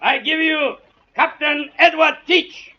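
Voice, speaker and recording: An actor playing an excitable, rowdy pirate crewman, shouting in old-time radio drama style. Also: extremely high pitch, slow pace, exaggerated voice. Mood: proud, rousing. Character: excitable pirate crewman hailing his captain. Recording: muffled old radio, crowd behind.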